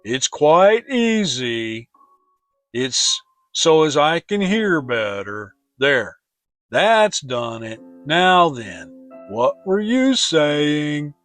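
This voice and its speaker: Old storybook donkey, gloomy, drawn-out, very slow delivery, doleful and pessimistic. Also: medium pitch, big pitch swings, a bit bright, no room sound, soft background music, heavily acted. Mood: doubtful, slow.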